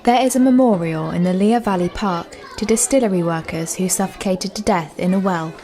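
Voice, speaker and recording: A woman's voice: mid-range voice, fairly quick, mellow. A woman reading scripted, educational narration as the guide of an audio walk.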